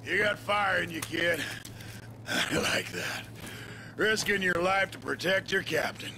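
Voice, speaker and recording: Sadistic, gravelly game villain. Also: male voice, high-pitched, big pitch swings, bright, forceful tone, slight background noise, full character voice. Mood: cold taunting. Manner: menacing, mocking, drawn-out. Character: sadistic, taunting male ex-soldier villain holding captives. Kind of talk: video game cutscene acting.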